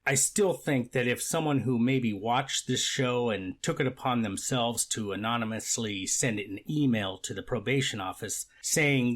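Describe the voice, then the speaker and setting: Man playing a deliberate, skeptical judge, mid-pitched. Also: fairly bright tone, close to an everyday voice. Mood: musing, skeptical. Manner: formal, deliberate, hedging and self-correcting. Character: skeptical, deliberative male judge presiding over hearing. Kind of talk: readers theater of a court transcript.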